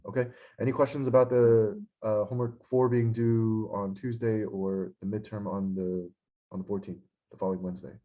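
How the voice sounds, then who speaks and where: A hoarse young man teaching an online lecture, frustrated and scolding, with lots of fillers. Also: on the low side, flat delivery, normal speed, dark tone, dull audio. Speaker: instructor. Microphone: computer mic on a video call.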